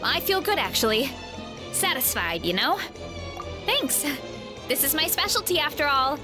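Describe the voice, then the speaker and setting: A woman's voice: sits high, sing-song, measured pace, over music. A woman playing a sweet, modest young woman in an anime game, speaking in a friendly, slightly hesitant way. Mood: bashful, content. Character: sweet, modest young woman, cheerful big eater and fruit grower.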